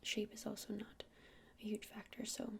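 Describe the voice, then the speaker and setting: A woman playing a gentle crystal-shop witch in an ASMR roleplay, whispering breathily and explaining things unhurriedly with hesitant pauses. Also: mid-range voice, monotone, natural voice. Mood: soothing, earnest. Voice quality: breathy whisper with creaky edges.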